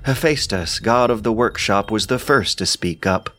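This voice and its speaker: A man's voice: fairly low pitch, moderate pace, a bit bright, a little hiss or hum. A man reading scripted narration as narrator and commentator.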